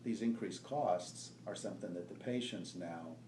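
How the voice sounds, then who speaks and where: An older man with a deep voice explains calmly. He sounds distant from the mic in a roomy space with background noise. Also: normal speed. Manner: calm, explanatory. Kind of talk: interview.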